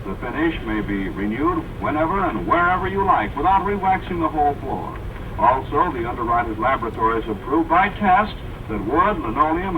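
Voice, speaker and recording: A man announcing a scripted 1940s radio advertisement in a polished, salesmanlike way. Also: medium pitch. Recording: tinny distant old radio, vehicle noise.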